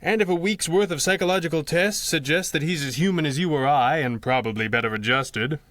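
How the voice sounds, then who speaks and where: An actor playing a calm, philosophical masked crime fighter in a 1930s-style radio adventure, speaking in a measured, articulate way. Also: male voice, fairly high pitch, dry recording, lightly acted. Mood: reflective, gentle.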